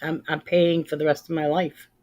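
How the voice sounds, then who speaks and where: A woman's voice: low voice, level tone, normal speed, darkish tone. A woman in her fifties chatting casually as a livestream host, plain-spoken and emphatic, repeating phrases for stress. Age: 50s. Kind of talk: casual chat with live viewers.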